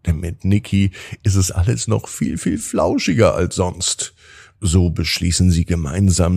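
A man's voice: low-pitched, vocal fry, darkish tone. A man narrating a children's bedtime story in a soothing manner, drawing out words for emphasis.

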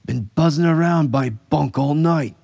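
A man's voice: fairly high pitch, fast, gravelly, a little mellow, no echo, pitched above the performer's own voice. A man voicing an uneasy, stubborn common soldier who talks bluntly and in slang, in an audiobook story. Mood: insistent. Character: rough, uneasy male trooper, stubborn and plain-spoken.

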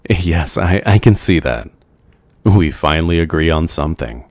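Very deep, brooding male vampire played by a man. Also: expressive, normal speed, dry recording. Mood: hesitant, nervous. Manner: hesitant, gentle, old-fashioned courtly phrasing. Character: brooding, lonely, tender male vampire, reluctant and devoted. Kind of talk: ASMR roleplay acting.

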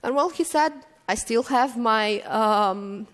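A woman's voice: on the high side, slow pace. A woman in her thirties giving a keynote at a lectern, telling stories at an easy pace with frequent ums and restarts. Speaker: keynote speaker. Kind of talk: conference talk. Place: conference stage, indoors. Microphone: stand mic at lectern. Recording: reverberant hall.

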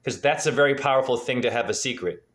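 A man's voice: mid-range voice, fast, a bit bright. A man in his forties giving a freewheeling, earnest numerology reading over a video call from a dim room at home. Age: 40s. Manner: freewheeling, earnest, asks probing questions. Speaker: spiritual numerology reader. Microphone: webcam on a video call.

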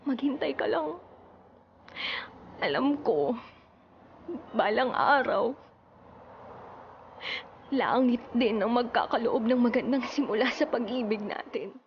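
A soft-spoken long-suffering widow. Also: female voice, on the high side, lively intonation, takes it slowly, long pauses. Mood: sorrowful. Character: long-suffering young wife, then widow. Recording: muffled radio drama with faint hiss.

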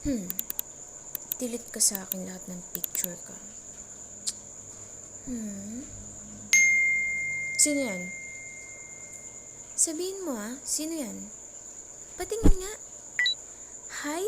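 A woman's voice: fairly high pitch, animated, slow, long pauses. A woman playing a mildly jealous, playful young girlfriend with a Filipino accent in an intimate, teasing roleplay audio. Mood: jealous, mock-angry. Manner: teasing, mock-threatening, sarcastic, switching between Tagalog and English. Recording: noisy track with cricket and insect sounds.

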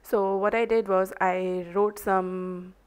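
A woman with an Indian English accent, a native Hindi speaker, narrating an art journaling tutorial in a halting way, full of ums and uhs. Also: medium pitch, flat delivery, a little mellow, clean tone. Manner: slow, hesitant, many ums and uhs. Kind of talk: crafting tutorial voice-over.

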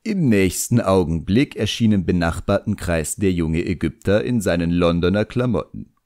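A man narrating an audiobook in the first person, expressive, wry and theatrical. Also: low voice, normal speed, no echo, clean studio sound.

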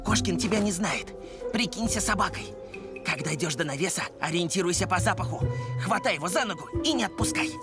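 A woman playing an earnest, clever schoolboy hero in an animated cartoon, explaining things seriously. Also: fairly low pitch, a little fast, natural voice. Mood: eager. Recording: loud cartoon music underneath.

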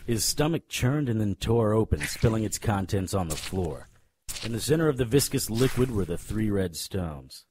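A man playing a cocky, world-weary paranormal investigator, narrating a noir radio drama in a wry, deadpan way. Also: on the low side, normal speed, background noise, lightly acted. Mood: grim, measured.

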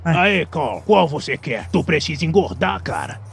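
A man with a Brazilian accent playing a fat, food-obsessed, stubborn gangster in a game fan dub, with a gravelly voice. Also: fairly high pitch, expressive, normal speed, heavy background noise. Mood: laid-back.

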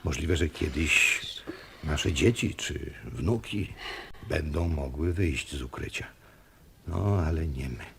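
An actor playing a sober, measured family man in a radio drama, with a husky voice. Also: very deep voice, expressive, a bit bright, slight background noise, full character voice. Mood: sober, resigned. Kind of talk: Polish radio drama acting.

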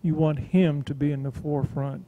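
A man giving hesitant, halting comments on a devotional panel, with many restarts. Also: fairly high pitch, little pitch movement, narrow, tinny audio, slight background noise. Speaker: church member.

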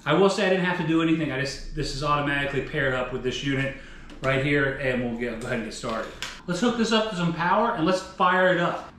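A man's voice: fairly high pitch, fast talker, fairly bright tone, roomy sound, a little hiss or hum. A middle-aged man casually explaining and demonstrating equipment at a workbench in his home garage. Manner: chatty, hands-on explaining. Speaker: outdoor gear vlogger. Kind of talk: casual product demo.

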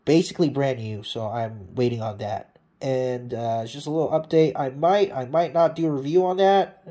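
A man's voice: medium pitch, moderate pace, dark tone. A man talking casually and off the cuff as a hobbyist repairer, rambling and blunt, swearing freely. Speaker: hobbyist radio repairer and video maker. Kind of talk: casual, unscripted repair update.

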